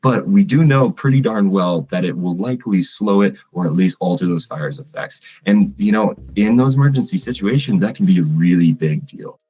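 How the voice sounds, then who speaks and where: A man's voice: deep voice, moderate pace, hardly any pauses, warm tone, husky. A man speaking as a researcher in an informal interview, emphatic and checking in with rhetorical tags. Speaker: forest fire researcher being interviewed.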